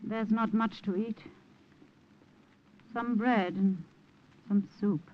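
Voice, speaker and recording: A woman's voice: mid-range voice, fairly even intonation, slow, close to an everyday voice. An actress playing a guarded, nervous young Austrian woman in a 1950s radio drama. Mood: subdued, hospitable. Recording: muffled old radio broadcast.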